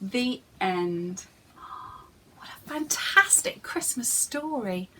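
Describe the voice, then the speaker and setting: A woman's voice: on the high side, animated, slow, a bit bright, a little hiss or hum, poor audio. A woman reading aloud as a children's storyteller, theatrical and speaking straight to young listeners. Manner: theatrical, addresses young listeners directly with questions.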